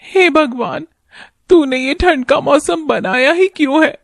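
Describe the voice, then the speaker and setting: A woman's voice: high-pitched, a little slow, gravelly, no room sound, studio quality, voice pushed up. A woman voicing a poor, caring village wife and mother in a cartoon moral story, anxious and tender. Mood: dismayed. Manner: concerned, tender, plaintive. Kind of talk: animated moral story acting.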